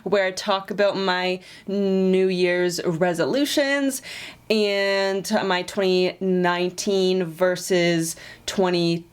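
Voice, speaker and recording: A woman's voice: fairly low pitch, steady tone, clear, resonant tone. A woman in her twenties with a stutter, talking casually and reflectively to camera as a vlogger, with frequent repeated sounds and restarts. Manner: slow, reflective and encouraging.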